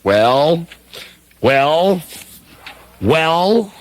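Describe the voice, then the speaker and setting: A man's voice: on the high side, very animated, drawn-out words, dry recording, full character voice. A man playing an eager, folksy young small-town dreamer in a comedic script read, stammering as he goes. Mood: awkward, folksy. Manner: stammering, breaks off with asides. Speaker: radio show performer.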